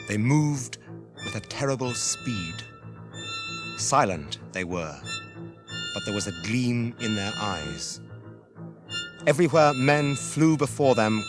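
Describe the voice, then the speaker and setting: A man narrating a 1980s radio drama as a scripted story, measured in delivery. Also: mid-range voice. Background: dramatic music.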